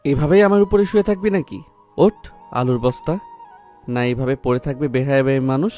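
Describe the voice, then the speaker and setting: An actor playing an angry, stubborn young man in an audio love story, scolding in a gruff, curt voice. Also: mid-range voice, fairly quick, warm tone, close to an everyday voice. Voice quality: gruff, harsh. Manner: curt, commanding, insulting. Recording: background music under the voice.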